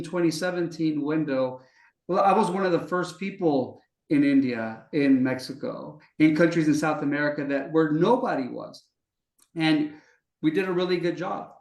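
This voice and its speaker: A man's voice: fairly high pitch, a little mellow. A man co-hosting a podcast, talking fluently and confidently in long explanations. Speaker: podcast co-host, business development executive. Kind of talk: conversational interview. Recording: echoey room.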